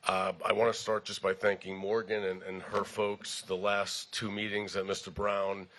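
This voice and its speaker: A very deep male voice, polite and measured, giving formal testimony for a client. His delivery is careful and courteous. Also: flat delivery, fairly bright tone. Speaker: attorney speaking for a client. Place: city commission chamber.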